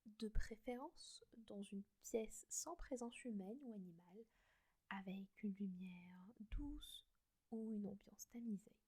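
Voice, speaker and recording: A woman in a soft breathy whisper, gently guiding a meditation close to the mic. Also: medium pitch, slow pace. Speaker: meditation guide.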